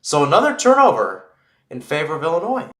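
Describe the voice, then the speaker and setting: A man's voice: fairly high pitch, wildly swooping pitch, a little fast, fairly bright tone, reverberant. A man giving live play-by-play football commentary in an informal way, thinking aloud and correcting himself as he goes.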